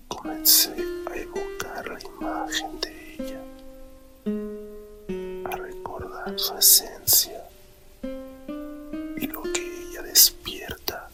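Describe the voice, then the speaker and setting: A man softly whispering a romantic story in a slow, deliberate ASMR narration, close to the microphone. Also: extremely high pitch. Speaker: storyteller reading own written story.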